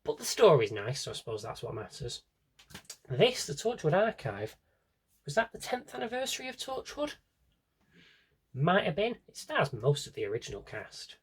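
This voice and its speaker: A man's voice: a little high, wildly swooping pitch, moderate pace, slightly rough. A man giving a casual, rambling show-and-tell to viewers, chatty and enthusiastic, drifting into asides to himself. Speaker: video host showing a personal CD collection.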